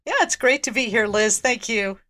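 A woman speaking as a research executive guest on a podcast, explaining in a brisk, businesslike way. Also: on the high side, sharp tone. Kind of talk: podcast discussion.

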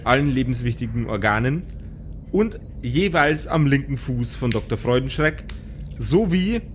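A man narrating an improvised pen-and-paper audio story as game master, describing scenes haltingly. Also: medium pitch, a little hiss or hum.

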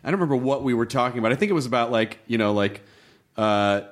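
A man's voice: medium pitch, expressive, fast. A man interviewing in a casual, rambling, slangy way. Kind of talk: casual chat.

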